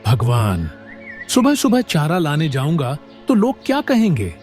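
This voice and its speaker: A man's voice: on the high side, huge pitch swings, measured pace, darkish tone, soft background music. A man voicing a lazy, whiny young village son in a cartoon moral story. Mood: lazy complaining.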